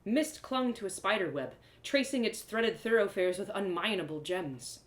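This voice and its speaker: A woman giving an expressive, theatrical reading of a first-person fantasy story as a storyteller. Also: medium pitch, normal speed. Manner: theatrical, dramatic phrasing. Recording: roomy echo.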